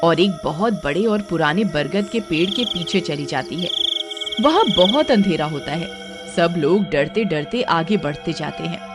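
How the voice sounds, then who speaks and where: A woman's voice: lowish voice, expressive, moderate pace. Woman narrating a story over music.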